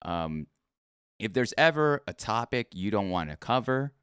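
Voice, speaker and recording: A man's voice: lowish voice, sing-song, measured pace, fairly bright tone, clean tone, dry recording, studio quality. A man hosting a casual interview show, talking in a rambling, friendly way with plenty of fillers and restarts. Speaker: podcast host.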